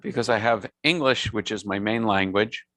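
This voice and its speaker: A man in his sixties with a low voice, chatting casually as a service leader. He tells rambling stories and corrects himself as he goes. The sound is dry and close. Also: normal speed, a bit bright, no echo. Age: 60s. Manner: rambling, self-correcting storyteller. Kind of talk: casual chat. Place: synagogue service.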